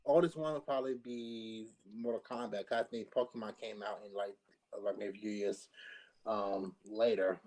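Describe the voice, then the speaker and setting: A man's voice: lowish voice, steady tone, moderate pace, a little mellow. A man in a casual group chat as a podcast panelist, thinking aloud in a hesitant way.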